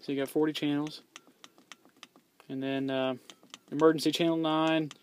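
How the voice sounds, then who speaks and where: A young man with a somewhat high voice talks through his CB radio in a loose, offhand way. He rambles, restarts sentences and fills pauses with ums. He sounds like a hobbyist vlogging from beside his car. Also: little pitch movement, a little hiss or hum. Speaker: YouTuber reviewing his CB radio. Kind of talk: casual product review vlog.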